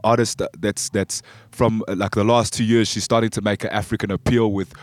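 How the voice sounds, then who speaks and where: A man from Zambia hosting a casual radio chat, halting and often repeating words. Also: fairly low pitch, level tone, dry recording. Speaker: radio show host. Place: radio studio.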